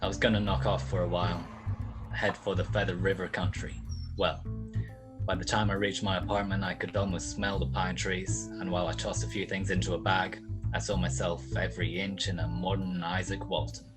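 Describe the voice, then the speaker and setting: A man playing a world-weary, sardonic private detective in a 1940s-style radio play, with a wry, curt, deadpan delivery. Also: deep voice, a little fast, loud music behind the voice, close to an everyday voice, low-quality recording. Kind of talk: 1940s detective radio play acting with first-person narration.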